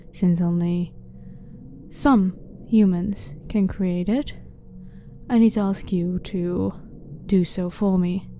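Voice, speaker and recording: Female alien scientist acted by a woman, blunt and clinical yet harmless, very soft dark tone, ASMR sci-fi roleplay over noticeable background ambience. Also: mid-range voice, lightly acted. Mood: matter-of-fact. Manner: blunt, formal, matter-of-fact, measured. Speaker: ASMR voice performer. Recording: clearly noisy ambient background.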